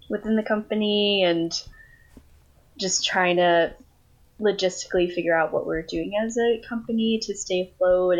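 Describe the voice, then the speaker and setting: A woman's voice: fairly low pitch, highs cut off. A young woman from Thailand, a guest on a remote interview, talking casually and rambling with many fillers. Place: family home, indoors. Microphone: video call.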